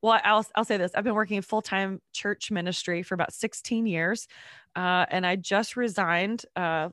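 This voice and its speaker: A woman in her thirties from North Carolina, a guest on a faith podcast, chatting casually while congested, her voice deeper than usual. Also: a bit bright, no room sound, clean studio sound. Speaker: podcast guest, children's ministry worker. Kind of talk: casual interview chat.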